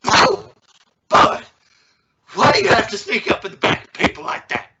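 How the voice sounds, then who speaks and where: A woman's voice: fairly high pitch, wildly swooping pitch, normal speed, highs cut off. A young woman playing an exasperated, stammering father trying to sound reasonable in an old-time radio family comedy. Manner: stammering, sputtering. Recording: noisy recording with clicks.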